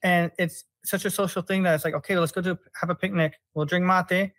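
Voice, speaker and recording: A young American man telling stories in a relaxed way with lots of "uh"s as a podcast guest. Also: sits high, fairly quick, no echo. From United States. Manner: relaxed storytelling with many fillers. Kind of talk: casual conversation.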